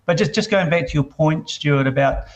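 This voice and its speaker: A man with a New Zealand accent, a company CEO answering interview questions, halting with many fillers and restarts. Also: a little high.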